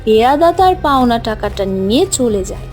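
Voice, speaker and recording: A woman's voice: a little high, lively intonation, a little fast. A woman narrating a scripted children's fairy tale as the storyteller. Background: music.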